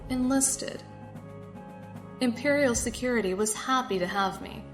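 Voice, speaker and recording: A woman acting in an audio drama as a controlled, condescending security captain with a formal, measured manner and polite menace. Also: fairly high pitch, soft background music, natural voice. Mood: coolly threatening.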